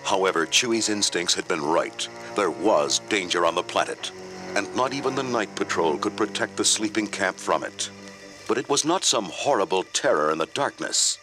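Low-voiced man narrating a storybook. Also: animated, moderate pace. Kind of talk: scripted read-along storybook narration. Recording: loud music under the voice.

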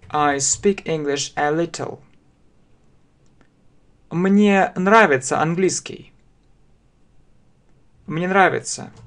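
A man in his thirties with a Russian accent, teaching a language lesson very slowly, spelling out phrases word by word and repeating them at a desk webcam. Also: a little high, a bit bright. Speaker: English teacher. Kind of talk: slow step-by-step language lesson. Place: small room indoors.

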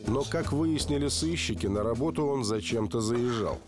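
Male narrator, serious even delivery, mid-pitched voice, music behind. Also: moderate pace. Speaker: documentary narrator. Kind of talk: scripted narration. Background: background music.

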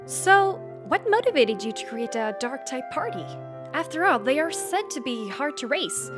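A woman's voice: high-pitched, normal speed. A woman voicing a curious, probing young trainer in a manga dub, with an inquisitive delivery. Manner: inquisitive, animated. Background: loud music.

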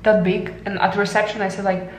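A woman's voice: medium pitch, level tone, brisk, slight background noise. Chatty woman in an echoey bathroom. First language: not English. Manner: chatty, laughs often, non-native phrasing. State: losing her voice. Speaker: vlogger. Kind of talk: casual vlog chat. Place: tiled hotel bathroom. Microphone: handheld camera at arm's length. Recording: echoey tiled room.

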